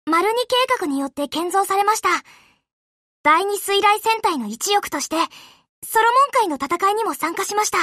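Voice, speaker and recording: An actress voicing a gentle, courteous young warship girl who serves her admiral in an anime game, with a deferential, polite manner. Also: very high-pitched, lively intonation, moderate pace, dry recording, studio quality, heavily acted. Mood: polite, composed. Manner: soft-spoken, courteous, deferential. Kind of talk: Japanese anime game voice acting.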